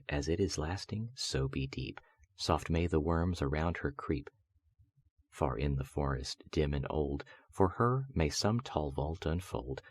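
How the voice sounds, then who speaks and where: A man with an extremely low, husky voice reads gothic verse aloud. His delivery is solemn and measured, with theatrical phrasing suited to bedtime listening. The sound is close and dry. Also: no room sound. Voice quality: husky, very deep voice. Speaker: voice-over artist reading poetry. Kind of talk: dramatic recitation of gothic poetry for sleep and relaxation.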